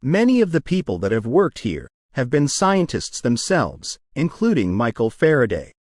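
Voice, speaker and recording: A man reading short academic passages aloud as scripted narration, with measured, deliberate enunciation. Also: medium pitch, wildly swooping pitch, darkish tone, no room sound, studio quality. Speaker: narrator of English test practice passages.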